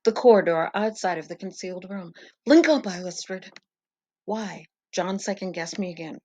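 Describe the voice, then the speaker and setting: A woman's voice: lowish voice, fairly even intonation, brisk, a little mellow. Woman narrating suspenseful drama. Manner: earnest, suspenseful storytelling. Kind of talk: dramatic scripted first-person narration.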